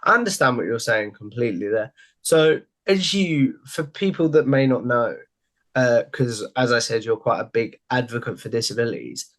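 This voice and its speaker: A man's voice: medium pitch, expressive, normal speed, a bit bright. A young man hosting a podcast from home over a video call headset, asking friendly, rambling questions and leaning on the same stock phrases. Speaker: podcast host. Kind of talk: casual interview questions. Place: home room.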